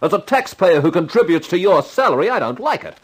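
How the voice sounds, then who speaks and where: An actor playing an excitable, wisecracking employee in a 1950s radio detective drama, blustering and easily cowed. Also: male voice, high voice, a little fast, breathy edge, full character voice. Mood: indignant bluster. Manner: indignant bluster sliding into stammering deference.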